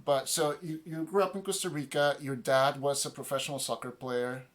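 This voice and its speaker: A man's voice: on the high side, fairly even intonation, fairly quick. A man interviewing a guest over a video call for a youth orchestra, asking eager questions with fillers and restarts.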